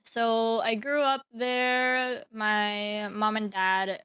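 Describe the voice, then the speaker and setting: A woman's voice: a little high, flat delivery, very slow, fairly bright tone, clean tone, no echo. A young woman from Thailand telling her life story as a podcast guest, hesitant, with many fillers and restarts. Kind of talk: casual interview storytelling.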